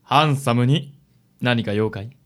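A man's voice: mid-range voice, measured pace, fairly bright tone, lightly acted. A man playing a suave, self-satisfied handsome detective with an affected, condescending manner in a radio drama.

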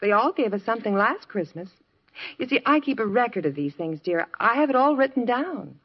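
A woman's voice: a little high, lively intonation, a little fast, breathy edge. An American actress playing a patient, practical middle-aged housewife and mother in a 1950s radio sitcom. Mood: defensive, patient. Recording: muffled lo-fi 1950s radio recording.

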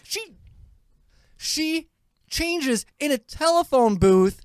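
A woman hosting a casual group movie review, exclamatory, giggly and quick to argue her point. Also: high-pitched, lively intonation, no room sound, studio quality. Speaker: movie review show host.

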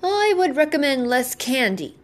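A woman's voice: high-pitched, sing-song, moderate pace, voice pushed up. Woman playing an emphatic, disapproving storybook doctor. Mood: stern advice. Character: tall thin older male doctor, gravely reproving.

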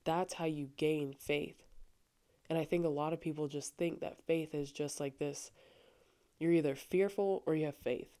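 A young adult woman with a low voice talking earnestly and casually on her faith podcast, with frequent fillers. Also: fairly even intonation, normal speed, mellow. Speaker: podcast host. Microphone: studio microphone.

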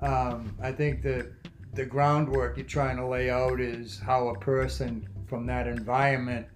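A man reminisces in a casual interview. His voice sits in a middle register, and he speaks in halting, thoughtful phrases. Guitar music plays behind him. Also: mid-range voice, flat delivery. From South Boston, USA. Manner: halting, reflective, measured phrasing. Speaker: interview guest, former union president.

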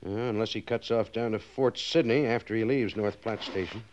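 A man playing an exacting but fair American cavalry major in a 1950s radio western, speaking with brisk, formal authority. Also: lowish voice, darkish tone, natural voice. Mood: measured, concerned. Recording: muffled, noisy old 1950s radio recording.

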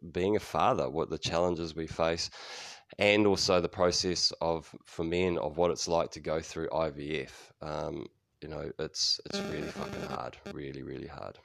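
A man's voice: a bass voice, gravelly, no echo, clean studio sound. A New Zealand man in his fifties hosting a podcast, talking candidly in a rambling way, with many fillers and restarts and frequent swearing. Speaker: podcast host. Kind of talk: casual solo podcast monologue.

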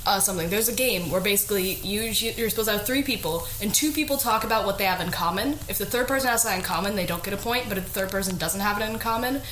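A woman's voice: medium pitch, fast talker, few pauses, a bit bright. A young woman co-hosting a casual podcast, chatting and bantering playfully with friends. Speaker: podcast co-host. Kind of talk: casual group podcast chat. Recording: echoey room with light noise.

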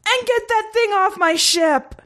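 Tyrannical alien commander, very high-pitched. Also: female voice, animated, takes it slowly, fairly bright tone, full character voice. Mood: panicked shouting. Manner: imperious, outraged, barking orders. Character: tyrannical shrieking many-eyed tentacled alien military commander.

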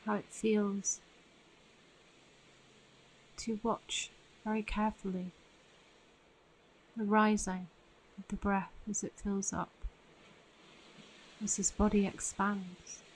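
Female meditation guide, soothing and very slow, little pitch movement, faint ambient noise behind. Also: flat delivery, low-quality recording. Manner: soothing, unhurried with long pauses.

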